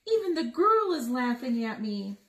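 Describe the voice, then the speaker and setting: Little male hedgehog, grumpy and indignant, high voice played by a woman, storybook read-aloud in an echoey room. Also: very animated, moderate pace, a bit bright. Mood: dismayed. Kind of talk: picture book read-aloud. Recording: roomy echo with noticeable background noise.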